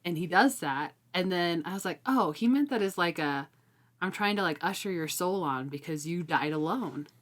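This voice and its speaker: Female co-host, lower-pitched voice, informal book chat, animated storyteller who voices little quoted reactions. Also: normal speed. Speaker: co-host of a book discussion show. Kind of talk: casual chat, book discussion.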